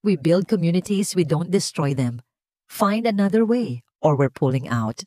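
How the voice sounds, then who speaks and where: Audiobook role: principled Filipino businessman, played by a woman, firm and emphatic, mellow timbre, dry close sound. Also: lowish voice, animated, measured pace, no echo. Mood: firm refusal. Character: resolute, principled male billionaire businessman. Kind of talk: audiobook character dialogue.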